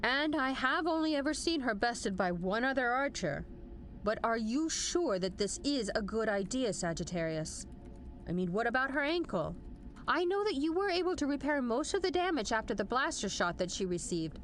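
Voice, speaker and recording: An actress playing a stern, exacting major general in an audio drama, firm and emphatic. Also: female voice, a little high, very animated, normal speed, slight background noise. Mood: concerned. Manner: firm, clipped orders, emphatic.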